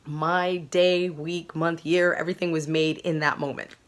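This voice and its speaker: Excitable woman with a slightly croaky voice. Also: low-pitched, measured pace. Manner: gushing and excitable, laughing often. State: recovering from a sore throat. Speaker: podcast host. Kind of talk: casual storytelling to viewers.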